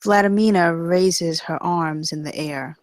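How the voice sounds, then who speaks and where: A woman's voice: lowish voice, a little slow, no room sound. A woman narrating the stage directions of a comedy screenplay at a table read.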